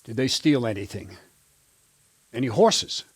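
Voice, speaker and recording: Blunt, animated army captain. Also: male voice, mid-range voice, unhurried, slightly rough, dry recording. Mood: probing. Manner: authoritative, blunt, oath-swearing. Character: gruff, commanding medieval captain of soldiers, grimly determined. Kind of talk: dramatic video game acting.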